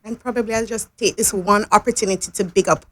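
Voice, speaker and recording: A woman giving measured, earnest, unscripted answers as a school principal interviewed in a studio. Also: mid-range voice, steady tone, a bit bright.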